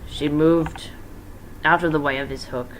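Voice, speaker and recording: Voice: mid-range voice, fairly even intonation, unhurried, a bit bright. An amateur reader giving a casual dramatic reading, informal and stumbling, with self-correcting asides. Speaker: amateur fan fiction reader. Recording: noisy amateur home recording.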